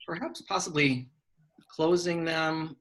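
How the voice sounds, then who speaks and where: A man leading a guided meditation, giving gentle, measured instructions with long pauses between phrases. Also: a little high.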